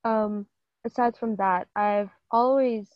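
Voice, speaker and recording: Lively young woman from Hong Kong, muffled audio. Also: mid-range voice, takes it slowly, dry recording. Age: late teens. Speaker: co-host, sister. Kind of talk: casual chat.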